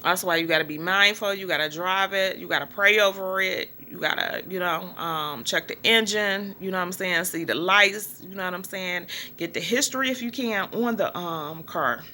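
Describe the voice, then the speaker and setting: A middle-aged woman and small business owner talking casually to her viewers in a rambling way, with many fillers and pauses. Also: on the low side, sharp tone, a little hiss or hum. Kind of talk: casual vlog monologue.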